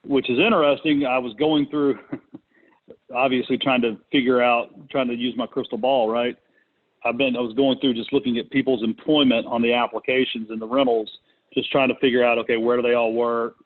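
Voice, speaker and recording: A man talks through a thin, phone-like connection as host of a business call. His delivery is casual and unscripted. He pauses to think as he goes. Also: medium pitch, flat delivery. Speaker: conference call host, real estate investor. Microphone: conference call connection. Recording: muffled, narrow phone-line sound.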